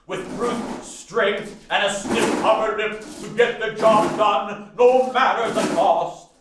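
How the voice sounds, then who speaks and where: A man acting in a radio play as the doomed, solemn ghost of a dead soldier turned businessman, with a drawn-out theatrical delivery. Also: high voice. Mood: anguished, solemn. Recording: echoey, distant stage recording with noise.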